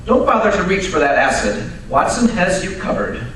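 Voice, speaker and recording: A man playing a brisk, learned Victorian detective in a live old-time radio drama, precise, commanding and drily teasing. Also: high-pitched, big pitch swings, a bit bright. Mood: cool, mocking. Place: live stage performance at radio club event. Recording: very echoey hall, distant mic, faint noise.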